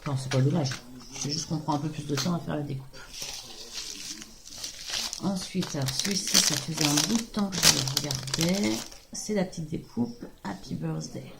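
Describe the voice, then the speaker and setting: A woman hoarse from a cold talks in a loose, rambling way, full of hesitations. Her voice sits fairly low and has a gravelly rasp. The home recording has a clear layer of background noise. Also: normal speed. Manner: chatty, rambling, hesitant with many fillers. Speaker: craft video host. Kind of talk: casual show-and-tell haul.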